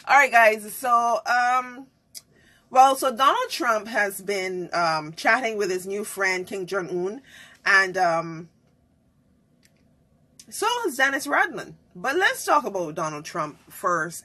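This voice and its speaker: Husky, bright woman host. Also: fairly high pitch. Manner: chatty, greets viewers, laughs often. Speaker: host of a live online talk show. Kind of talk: casual livestream talk.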